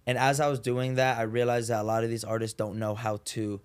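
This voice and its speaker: A man's voice: medium pitch, a little fast, clean tone. A young adult man from Puerto Rico, a podcast guest talking casually and easily with frequent fillers. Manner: relaxed, friendly, frequent "you know". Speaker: podcast guest, marketing founder. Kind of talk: casual interview chat.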